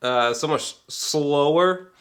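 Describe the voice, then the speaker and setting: Slow-paced rambling man reviewing movies. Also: on the high side. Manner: rambling, trailing off, repeating himself mid-thought; excitable fan, sweary. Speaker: amateur movie reviewer vlogger. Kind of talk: casual unscripted vlog review.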